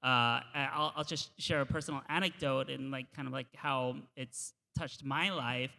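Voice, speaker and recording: A man's voice: medium pitch, steady tone, moderate pace, gravelly, bright, forceful tone. A man drag performer on a panel at a university event, telling a personal story in an earnest, reflective way. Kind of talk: panel discussion, personal anecdote. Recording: reverberant hall.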